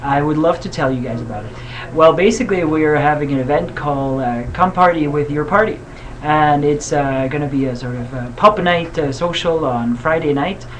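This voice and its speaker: Swiss-born male guest, higher pitch, hesitant filler-laden delivery, noticeable hiss. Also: normal speed, fairly bright tone, rough recording. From Switzerland. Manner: hesitant, many uhs and ums. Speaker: campaign guest. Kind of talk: casual interview answers. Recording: audible background hiss.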